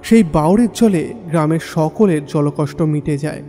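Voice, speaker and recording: A man's voice: on the high side, moderate pace, warm tone, close to an everyday voice. A man playing a dutiful, eager young village son in an audio drama, with dialogue and first-person storytelling. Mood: reflective narration. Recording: long hall reverb, music underneath.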